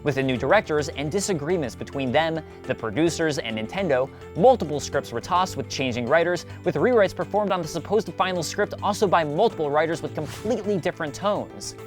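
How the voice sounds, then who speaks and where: A man's voice: on the high side, animated, fairly quick, hardly any pauses. A man hosting and narrating a scripted video essay in an emphatic, conversational presenter style. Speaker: video host. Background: background music.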